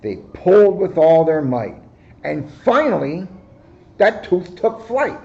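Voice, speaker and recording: A man reads a picture book to children at a slow, deliberate pace. His voice is mellow and a little high, with expressive storytelling. The recording sounds slightly dull. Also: vocal fry. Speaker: guest story reader, police officer.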